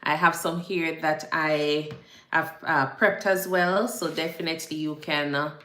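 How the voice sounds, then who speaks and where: A woman from Jamaica with a low voice chats casually on a livestream, greeting viewers by name and repeating herself often. She is a nurse. Her voice carries the echo of a room. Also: fairly even intonation, a little slow, a bit bright. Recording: echoey room.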